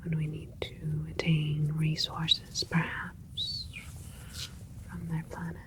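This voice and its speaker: A woman playing a gentle alien scientist doctor in a whispered ASMR sci-fi roleplay, speaking soothingly in a breathy voice with unhurried, halting phrases. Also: sits low, completely flat delivery. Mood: calm, pleased.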